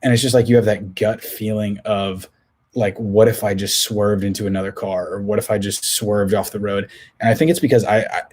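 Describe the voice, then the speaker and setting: A hoarse young man talks through his solo podcast in a loose, rambling way, circling back and restarting his sentences. His voice sits low and husky and barely moves in pitch. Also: darkish tone. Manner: rambling, many restarts and filler words. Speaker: podcast host. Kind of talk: casual solo podcast talk.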